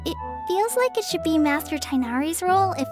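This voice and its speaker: A woman voicing a shy, self-doubting teenage girl in a video game, soft, youthful and hesitant. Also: extremely high pitch, expressive, fairly quick, over music. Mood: bashful, modest. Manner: hesitant, modest.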